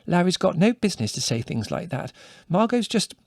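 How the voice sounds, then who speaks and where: A prim, flustered middle-aged mother who scolds and fusses in a raised, lively voice, as a male narrator puts her on. The audiobook sound is dry and close. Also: sits high, a little fast, vocal fry, no room sound, pitched above the performer's own voice, heavily acted. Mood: flustered scolding.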